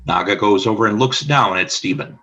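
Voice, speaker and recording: A man's voice: on the low side, brisk, sharp tone. A man reading scripted stage directions as the narrator of a radio play.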